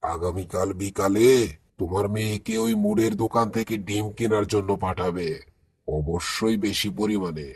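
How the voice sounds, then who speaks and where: A man voicing a wise, grandfatherly elderly village uncle in a moral cartoon, husky and measured, with a Bangladeshi accent. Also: fairly low pitch, no echo, clean studio sound. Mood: advising. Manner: measured, instructive, gently questioning. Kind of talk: cartoon moral story dialogue.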